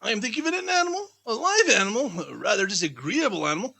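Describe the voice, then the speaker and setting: A man voicing a cheerful, good-natured young Victorian gentleman in a chatty, animated way for a storybook. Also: sits high, fast talker, vocal fry, breathy edge, pitched above the performer's own voice, exaggerated voice. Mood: playful.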